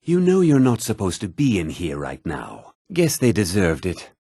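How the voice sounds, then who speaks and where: A man's voice: deep voice, wildly swooping pitch, normal speed, warm tone, breathy edge, no room sound, studio quality, exaggerated voice. An actor voicing a haughty, sneering townsman in a fantasy video game, condescending, smug and theatrical in manner. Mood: dismissive. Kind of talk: video game combat and reaction barks.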